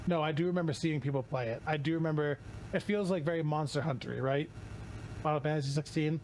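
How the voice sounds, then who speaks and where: A hoarse man streaming casually while opening card packs, rambling, swearing and often restarting his sentences. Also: on the high side, fast, darkish tone, a little hiss or hum, low-quality recording.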